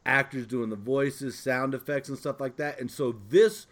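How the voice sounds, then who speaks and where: A man hosting a podcast, chatting casually in an informal, halting way. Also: medium pitch, fairly even intonation. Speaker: podcast host. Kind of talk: casual chat.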